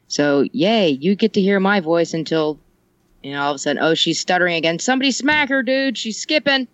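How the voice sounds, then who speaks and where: A woman's voice: on the low side, lively intonation, a little fast, a bit bright, no echo, muffled recording. A woman chatting casually on a podcast, rambling and joking, laughing often. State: ill. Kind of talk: casual chat.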